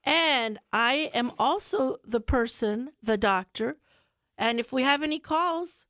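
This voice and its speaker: A woman's voice: sits high, lively intonation, slow pace, fairly bright tone, no room sound, clean studio sound. A middle-aged woman, a family physician hosting a radio health show, talking casually while stuffy from a cold and repeating words for emphasis. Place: radio studio.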